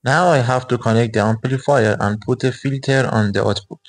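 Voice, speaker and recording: A man's voice: medium pitch, fairly quick, slightly rough, rough recording. A man in his twenties hosting a hobby electronics video, explaining his project step by step. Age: 20s.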